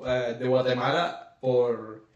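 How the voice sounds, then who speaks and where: A man's voice: mid-range voice, fairly even intonation, takes it slowly, recorded from a distance, lo-fi sound. A man with a Venezuelan accent, co-hosting a casual podcast chat, laughing and rambling with restarts. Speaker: podcast co-host. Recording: echoey room, off-mic, faint noise.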